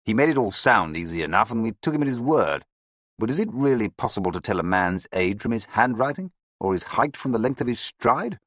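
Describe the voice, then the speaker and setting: A man's voice: fairly low pitch, big pitch swings, a bit bright, no echo, clean studio sound, voice pushed up. A man doing a skeptical police inspector. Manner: measured and critical.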